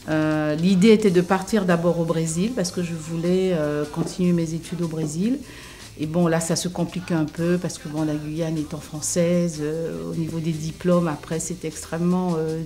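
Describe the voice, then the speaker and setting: A woman from French Guiana giving a personal testimony in an interview, speaking in a hesitant, reflective way with frequent pauses, fillers and restarts. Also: on the low side, dark tone.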